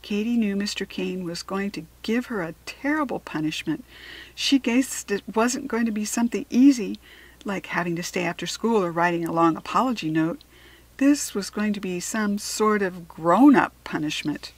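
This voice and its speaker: An American woman narrating a children's story expressively, with deliberate dramatic emphasis on key words. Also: medium pitch, lively intonation, no echo, poor audio. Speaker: storybook reader.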